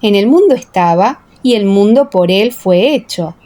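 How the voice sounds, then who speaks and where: A woman narrating a dramatised scripture audiobook in a solemn, measured way. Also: mid-range voice, lively intonation, dark tone.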